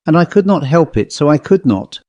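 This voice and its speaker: A man's voice: fairly high pitch, animated, brisk, a little mellow, no room sound, lo-fi sound, pitched above the performer's own voice, close to an everyday voice. A man voicing a tearful, fretful young maid who pleads and keeps repeating "ma'am". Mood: pleading. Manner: pleading, breathless repetition of ma'am.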